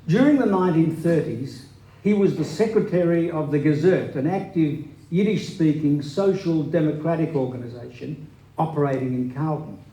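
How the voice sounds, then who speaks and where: Higher-voiced man, echoey hall. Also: moderate pace, warm tone, slight background noise. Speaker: performer in a dramatic reading. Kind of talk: scripted dramatic reading of a memoir. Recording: echoey live hall recording.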